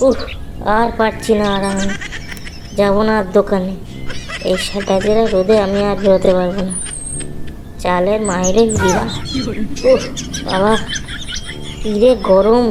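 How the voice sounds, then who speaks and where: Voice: medium pitch, steady tone, measured pace, long pauses, noisy background, close to an everyday voice. An actor voicing a foul-mouthed, lazy man in a comedy cartoon, whining and blustering in exasperation. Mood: whining, exasperated. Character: foul-mouthed lazy man griping about summer heat. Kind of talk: Bengali comedy cartoon voice acting.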